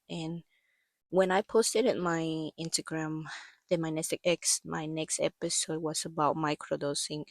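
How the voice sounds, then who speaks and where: Woman in her 30s rambling thru her own story, lots of um and like, kinda lowish gravelly voice, losing it a bit from allergies. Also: no echo, studio quality. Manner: rambling, hesitant, many fillers and restarts. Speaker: podcast host. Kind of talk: personal storytelling monologue.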